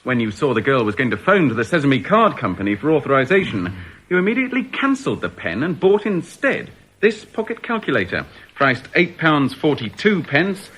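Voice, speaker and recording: An actor in a radio drama playing a coolly polite, insistent store detective with a formal, measured manner. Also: male voice, mid-range voice, very animated, fairly bright tone, slightly rough. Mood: accusing, methodical.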